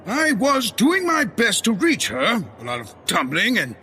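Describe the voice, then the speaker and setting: A man's voice: very high voice, huge pitch swings, unhurried, bright, forceful tone, slight background noise, exaggerated voice. A man voicing a boisterous, hearty caped superhero with an exclamatory, blustering manner in a comedic audio drama.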